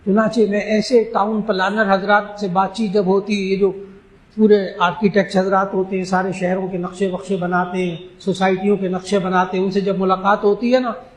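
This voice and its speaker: High-voiced scholar in an echoey room. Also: male voice, flat delivery. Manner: emphatic, slows to stress key phrases. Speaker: Islamic scholar. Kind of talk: religious talk answering questions.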